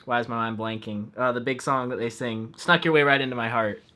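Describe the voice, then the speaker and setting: Guy hosting a fan stream, bright sharp voice, friendly, reads out chat questions. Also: mid-range voice, fairly even intonation, fairly quick. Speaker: host. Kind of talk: casual live stream interview.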